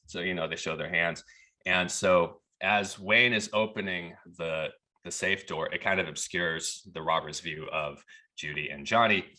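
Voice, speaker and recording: A man from Texas tells a story on a podcast, loose and conversational. His voice sits low but has a bright, forward edge to it. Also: measured pace. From Texas, USA. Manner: relaxed, chatty, laughs at the absurd parts. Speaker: podcast guest. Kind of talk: casual storytelling.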